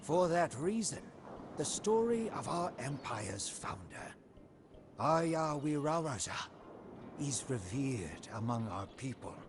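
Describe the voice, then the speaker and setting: An actor playing a proud, devoted commander of a royal guard, narrating a game's storybook in a solemn, deliberate manner. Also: male voice, mid-range voice, big pitch swings, background noise. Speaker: narrator of a game campaign story. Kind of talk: scripted storybook narration in character. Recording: music behind the voice.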